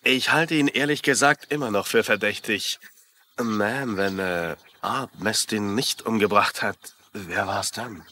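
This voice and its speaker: An actor playing a gruff, stubborn small-town marshal in a dubbed TV drama. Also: male voice, on the low side, unhurried, bright, forceful tone. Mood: grudging.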